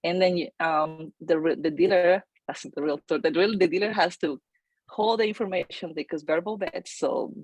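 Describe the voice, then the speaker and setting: A woman, her voice sitting on the low side. She talks energetically, full of ums and restarts, in a dry room. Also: steady tone, moderate pace, darkish tone, breathy edge, no echo, poor audio. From Chile. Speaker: real estate agent, guest. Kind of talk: casual interview storytelling.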